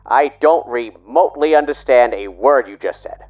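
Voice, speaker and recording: A man's voice: medium pitch, moderate pace, phone-quality sound. A man playing a courteous, easily flustered old-time radio announcer, folksy and formal, through a vintage radio filter. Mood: baffled. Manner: folksy, formal, hesitant when interrupted. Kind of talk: 1930s radio announcing acting. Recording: thin band-limited old-time radio sound.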